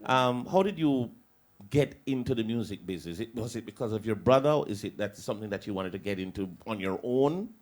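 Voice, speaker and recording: A man's voice: on the low side, animated, a little fast, hardly any pauses. A man hosting a radio interview, asking long prompting questions. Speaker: radio host.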